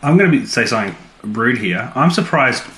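Wry man with animated pitch, roomy sound. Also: fairly quick. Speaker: podcast co-host, the fool. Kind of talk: casual banter, reacting and questioning. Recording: roomy echo, faint music behind.

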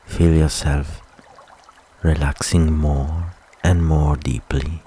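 A man leading a guided relaxation meditation with soft, soothing, unhurried instructions and long pauses. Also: very deep voice, little pitch movement, breathy edge.

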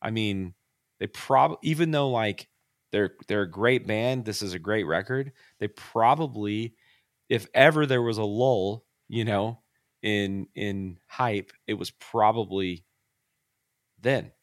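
A man's voice: fairly low pitch, slow, no room sound, studio quality. A man co-hosting a casual music podcast, talking loosely with lots of "like" and "I mean". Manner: conversational, hedging with "like" and "I mean". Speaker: podcast co-host. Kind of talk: casual chat about music.

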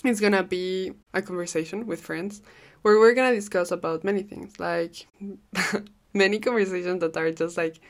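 Chatty Peruvian woman, lowish voice. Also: moderate pace. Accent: Peruvian Spanish. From Peru. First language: Spanish. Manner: chatty and rambling, laughs often, swears casually. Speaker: podcast host. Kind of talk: casual solo podcast monologue.

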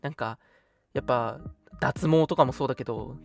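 A man's voice: medium pitch, normal speed, vocal fry. A man in his early twenties co-hosting a radio show in casual chat, often chiming in with agreement. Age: early 20s. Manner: frequent agreeing backchannels. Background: background music.

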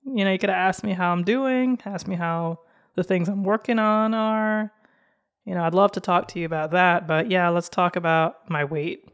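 A young adult woman hosting a podcast, talking slowly in a fairly monotone voice in a casual personal monologue. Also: on the low side, clear, resonant tone. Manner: slow, self-described monotone delivery with frequent laughs. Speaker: podcast host.

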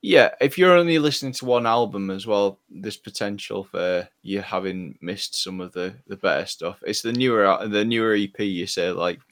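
A man's voice: on the low side, normal speed, few pauses, a bit bright. A man co-hosting a podcast, chatting casually about music in a laid-back way with short agreeing replies. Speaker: podcast co-host.

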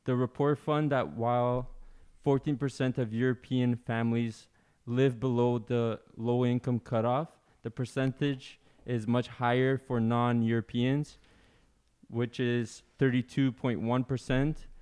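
A man on a podcast reading an article aloud, careful and halting, with fillers. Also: medium pitch, a little mellow, clean tone. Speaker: podcast co-host.

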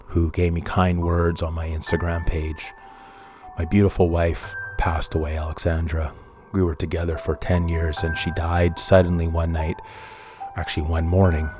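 A middle-aged man, hosting a true crime podcast and telling a personal story in a somber, rambling way, often correcting himself. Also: low voice. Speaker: podcast host.

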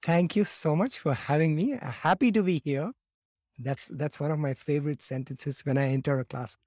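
A man in his fifties, raised in India, telling his story as a podcast guest in a lively way, often acting out quotes and repeating words as he starts. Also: a little high, moderate pace, no echo, clean studio sound. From born in Morocco, raised in India. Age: 50s. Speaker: guest, laughter yoga teacher.

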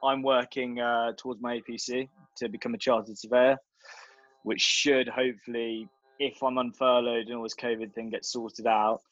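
A young man chatting casually with friends in a relaxed, jokey way. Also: medium pitch, normal speed, no room sound, lo-fi sound. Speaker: guest catching up with friends. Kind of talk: casual chat.

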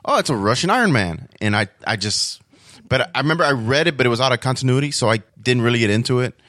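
A man chatting casually as a guest, slangy and informal, recalling things haltingly. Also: medium pitch, lively intonation, a bit bright, no room sound, clean studio sound. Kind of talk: casual chat, reminiscing.

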